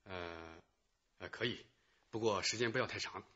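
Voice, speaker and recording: A man's voice: fairly low pitch, normal speed, sharp tone, lo-fi sound, a little hiss or hum. An actor playing a matter-of-fact male hospital doctor with a hesitant delivery in a radio drama. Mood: measured, informative. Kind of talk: Chinese radio drama acting.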